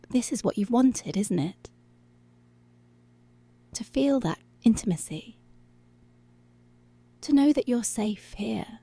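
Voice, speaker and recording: A woman performs a commanding, seductive policewoman in an intimate ASMR roleplay. Her delivery is very slow and deliberate, slipping between murmur and whisper. The voice is husky and breathy with a heavy fry, possessive but tender. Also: medium pitch, dark tone, dry recording, full character voice. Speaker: ASMR voice actor. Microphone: close, dry microphone.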